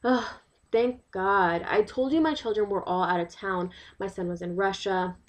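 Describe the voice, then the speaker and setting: A woman's voice: medium pitch, moderate pace, close to an everyday voice. A woman performing a dramatic monologue as an indignant, worried New York mother, emphatic and exasperated, firing rhetorical questions. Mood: anxious. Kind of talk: dramatic monologue, testimonial to a listener.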